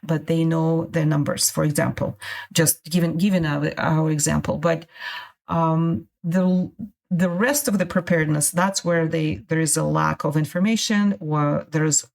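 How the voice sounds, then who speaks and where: A middle-aged woman from Russia, a guest on a podcast interview, talking in halting phrases with many restarts. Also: deep voice, fairly even intonation, vocal fry, a little mellow. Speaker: guest, immigration consultant.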